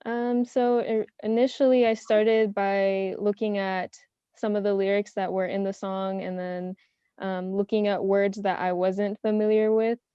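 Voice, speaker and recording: A young woman answering questions as a panelist in a casual online round-table, soft-spoken and modest. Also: mid-range voice, flat delivery, unhurried, clear, resonant tone, dry recording, muffled recording. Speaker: panelist, self-taught language learner.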